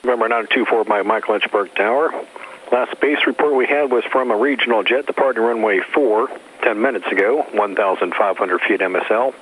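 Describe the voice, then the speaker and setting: Male tower controller, fairly deep, delivering procedural clearances through a slightly noisy aviation radio. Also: a bit bright. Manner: procedural, measured phrasing. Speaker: air traffic controller in tower.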